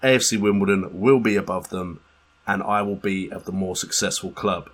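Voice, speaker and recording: A man's voice: low-pitched, normal speed. A man voicing a vengeful footballer in a defiant interview, with an amateurish Liverpool accent.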